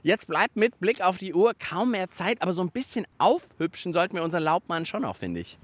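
A man's voice: sits high, big pitch swings, brisk, no echo. A man hosting a children's science show, chatting cheerfully and laughing easily. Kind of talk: casual presenting for children.

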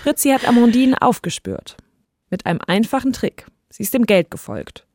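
A woman's voice: fairly high pitch, big pitch swings, normal speed, a little mellow, no room sound, studio quality. A woman hosting a podcast, telling a scripted story in a conversational narrating style. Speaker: podcast host. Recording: music bed under voice. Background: background music.

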